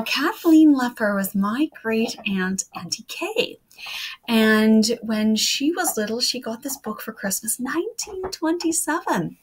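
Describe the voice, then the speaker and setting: Lively high-voiced woman reading for children. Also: breathy edge. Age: 30s. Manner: addresses young listeners and family directly. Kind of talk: casual introduction and read-aloud of children's poems.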